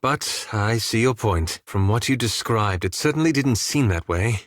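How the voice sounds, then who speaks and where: A man playing a reserved, scholarly, self-doubting young elf adventurer in a video game, speaking in a formal, measured, wryly understated way. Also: on the low side, animated, no echo, studio quality. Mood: reflective. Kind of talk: video game companion dialogue.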